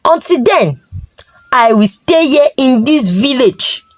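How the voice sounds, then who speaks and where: High-pitched, stubborn Nigerian daughter acted by a woman. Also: expressive, takes it slowly, no echo, exaggerated voice. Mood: defiant. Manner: defiant, insistent. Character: stubborn, proud young wife and daughter.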